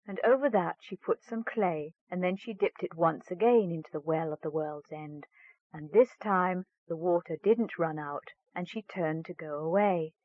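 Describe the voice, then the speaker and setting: A woman's voice: lowish voice, moderate pace, no echo. A woman reading a fairy tale aloud as a storyteller, in scripted narration. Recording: narrow, phone-like band.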